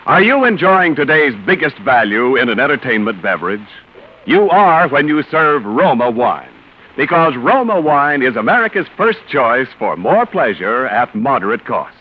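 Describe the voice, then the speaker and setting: A man delivering a deliberate, emphatic 1940s radio sales pitch as a commercial announcer. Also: big pitch swings. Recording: muffled old radio recording.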